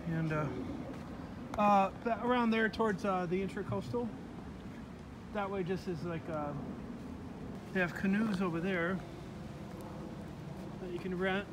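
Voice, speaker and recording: Man, light high voice, casual rambling tour guide, outdoor phone recording with noisy background. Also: fairly even intonation, measured pace, long pauses. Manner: rambling, pointing things out with many fillers. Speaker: vlogger filming a park walk. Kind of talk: casual walking tour narration. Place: outdoors in a sunny park. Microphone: handheld phone camera. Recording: outdoor phone audio with loud background noise.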